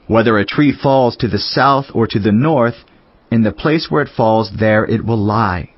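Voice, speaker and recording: An actor voicing a solemn, wise teacher in a dramatized scripture reading, speaking in a measured, declamatory way. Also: male voice, on the low side, no room sound, natural voice. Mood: solemn, reflective. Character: solemn male Teacher, wise biblical sage reflecting on life. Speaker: scripture reader. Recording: dull lo-fi audio over music.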